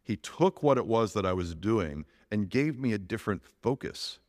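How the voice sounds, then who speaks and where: A middle-aged man with a big, lowish voice gives a heartfelt personal testimony to his congregation. He speaks earnestly, restarting phrases as he goes, and the sound carries a long reverberant tail from the church hall. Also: lively intonation, moderate pace, darkish tone. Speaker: church elder giving a testimony.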